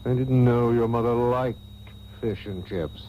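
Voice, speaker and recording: A man's voice: mid-range voice, lightly acted. Man playing a stuffy, fretful wealthy father. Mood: solemn concern. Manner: stuffy, halting, melodramatic. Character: pompous, fretful wealthy father, self-pitying snob. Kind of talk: melodramatic radio soap parody. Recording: dull old radio tape, faint hum.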